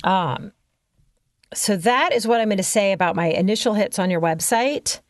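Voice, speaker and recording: A woman's voice: mid-range voice, normal speed, no echo, studio quality. A woman brand consultant coaching in a casual chat, talking freely with frequent ums and you-knows.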